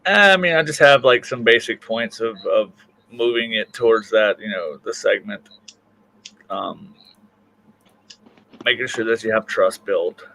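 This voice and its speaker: A man's voice: lowish voice, a little slow, vocal fry, pauses often, pushed and bright, poor audio. A man hosting a casual livestream panel chat in an informal, teasing way. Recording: faint background noise on livestream audio.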